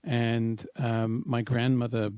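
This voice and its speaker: A man in his fifties from Wales, a guest talking through his thoughts with many pauses and restarts. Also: mid-range voice, flat delivery, darkish tone, clear, resonant tone, no echo. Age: 50s. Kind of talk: casual interview.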